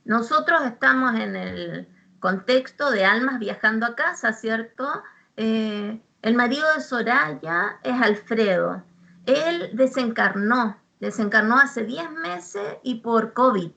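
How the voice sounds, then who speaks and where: A woman with a Chilean accent, hosting a spiritual interview in a gentle, warm way. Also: fairly high pitch, lively intonation, vocal fry. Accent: Chilean Spanish. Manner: slow, gentle and warm, laughs lightly. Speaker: host, spiritual guide.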